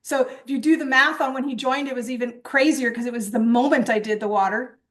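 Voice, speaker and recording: A high-voiced woman talks through her course with bubbly excitement. She rambles, doubles back on her words and fills pauses with ums. Her delivery swings between breathless speed and slow, dreamy emphasis. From born in Japan, grew up in England. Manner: animated, rambling storytelling with frequent fillers and restarts. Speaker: host and coach presenting a certification course. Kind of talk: enthusiastic webinar presentation.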